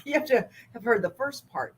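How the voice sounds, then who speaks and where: A woman, talking casually through a makeup demonstration with lots of laughter and gentle instructions. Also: a little high, animated, brisk, a little hiss or hum. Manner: chatty, giggly, gently bossy. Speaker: makeup artist.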